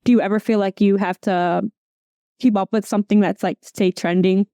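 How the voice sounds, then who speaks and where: A woman's voice: medium pitch, steady tone, normal speed, mellow, no room sound, studio quality. A woman hosting an interview, asking her questions hesitantly and searching for words. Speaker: host, interviewer.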